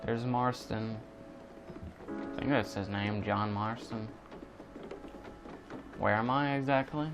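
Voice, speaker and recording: A man's voice: fairly low pitch, slow pace, pauses often. A man giving casual let's play commentary, rambling and thinking aloud as he goes. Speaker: gaming YouTuber. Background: game music.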